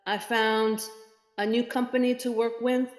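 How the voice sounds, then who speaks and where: A middle-aged woman with a standard American accent, a freelance ESL teacher and editor, talking casually with a client in a halting way full of fillers. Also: on the high side, flat delivery, soft background music. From New York area. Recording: echoey room with long reverb.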